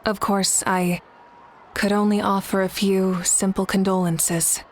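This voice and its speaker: A woman voicing a composed, logical and compassionate female leader in a video game, speaking in a measured, matter-of-fact way. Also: mid-range voice, flat delivery, a little hiss or hum, lightly acted. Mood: gentle, solemn. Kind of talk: scripted video game voice acting. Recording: music behind the voice.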